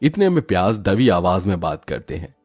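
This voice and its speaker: A man narrates a scripted storybook tale with very expressive pitch movement. His tone is mellow and the recording is dry, with faint music underneath. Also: moderate pace, no echo.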